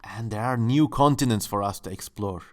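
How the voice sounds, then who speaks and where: A man's voice: lowish voice, moderate pace, darkish tone. Playful man. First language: Turkish. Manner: playful, musing, tongue-in-cheek. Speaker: channel host. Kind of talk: casual exploratory commentary. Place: home.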